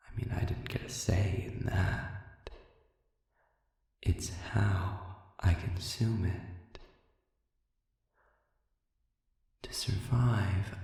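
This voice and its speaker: A man playing a sadistic, manipulative demon in an audio roleplay, smug and philosophical, with a low gravelly voice and a deliberate, murmuring delivery full of pauses. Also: mellow, full character voice. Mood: coolly menacing. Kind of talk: dramatic audio roleplay monologue. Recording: heavily reverberant, distant cavernous sound.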